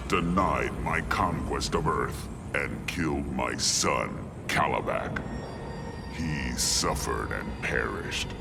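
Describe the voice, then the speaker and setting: A cold vengeful alien god tyrant, extremely deep, slow gravelly game villain, music under it. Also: male voice, full character voice. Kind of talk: villain monologue in a video game.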